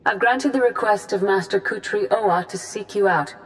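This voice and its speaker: An actress playing a grave, authoritative female Jedi grandmaster in a video game, speaking formally and deliberately. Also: medium pitch, fairly bright tone, close to an everyday voice, poor audio. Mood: grave, earnest. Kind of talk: scripted video game dialogue.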